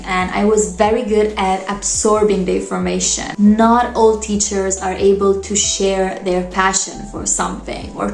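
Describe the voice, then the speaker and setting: Italian-accented woman chatting in an echoey room. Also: medium pitch. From Italy. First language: Italian and Polish. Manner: chatty, earnest, fond of asides like "you know". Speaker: English teacher. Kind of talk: casual vlog monologue.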